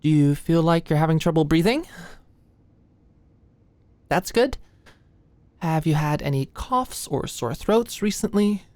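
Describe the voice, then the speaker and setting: A woman playing a sweet, anxious young medical student in an ASMR roleplay, speaking gently and a little awkwardly close to the mic. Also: sits low, lively intonation, dry recording. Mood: nervous, professional. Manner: gentle, halting, clinical instructions slipping into shy fluster. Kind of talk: ASMR roleplay, soft close conversational delivery. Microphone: close microphone, dry sound.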